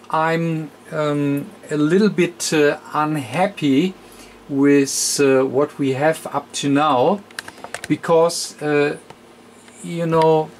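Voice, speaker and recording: A man demonstrating a radio repair at his workbench, talking haltingly with many fillers and counting aloud as he adjusts. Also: fairly high pitch, a little hiss or hum. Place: electronics workbench.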